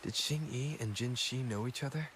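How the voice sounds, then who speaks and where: An actor voicing a calm, inquisitive young male adventurer in a video game. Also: mid-range voice, normal speed, music in the background, lightly acted. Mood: curious.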